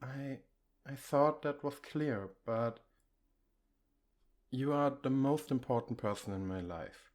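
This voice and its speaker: A man playing an affectionate, reassuring boyfriend in an intimate ASMR comfort roleplay, speaking in a gentle, coaxing, conversational way. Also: medium pitch, slow pace, long pauses, close to an everyday voice. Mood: tender, earnest.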